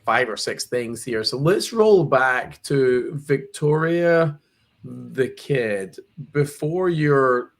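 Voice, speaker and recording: A man hosts a podcast conversation and asks questions in a loose, relaxed way. He often restarts his sentences and fills pauses with "uh." His voice sits at an ordinary middle pitch. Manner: conversational, with repeated restarts and "uh" fillers. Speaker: podcast host. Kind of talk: casual interview.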